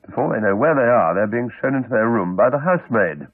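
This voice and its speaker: British guy doing formal radio continuity, lowish breathy voice, old dull broadcast. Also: expressive, fast, no echo. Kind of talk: scripted radio announcement. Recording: dull 1980s radio broadcast, dry, faint music.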